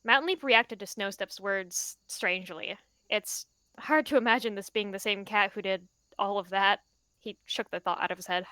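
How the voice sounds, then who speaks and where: Bright, clear-voiced woman narrating roleplay. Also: mid-range voice, moderate pace, dry recording. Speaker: roleplayer narrating her character.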